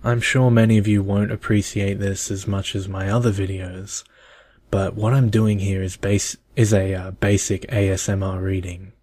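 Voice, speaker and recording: A young man speaking in a soft, measured, soothing ASMR style, as if for a bedtime story. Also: sits low, little pitch movement, dry recording, clean studio sound. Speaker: video creator reading a bedtime story.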